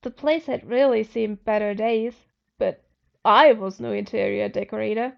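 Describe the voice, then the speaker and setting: A woman's voice: a little high, steady tone, normal speed, vocal fry, dull audio. A woman narrating first-person fiction expressively as an amateur audiobook reader.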